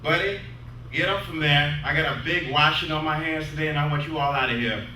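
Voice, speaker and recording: A man voicing a harried, commanding mother in a story reading, with shrill, urgent calls. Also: fairly quick, sharp tone. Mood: stern, impatient. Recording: echoey room from far off, noisy.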